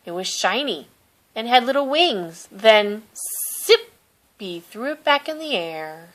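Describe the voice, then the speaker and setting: Slow, expressive woman reading a storybook. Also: on the high side, rough recording. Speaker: storybook reader. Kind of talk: children's picture-book read-aloud.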